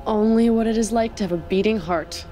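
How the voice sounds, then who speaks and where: An actress playing a fragile, weary, plaintive young sister in a period audio drama. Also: female voice, mid-range voice, a little fast, mellow, faint music behind, natural voice. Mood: defiant.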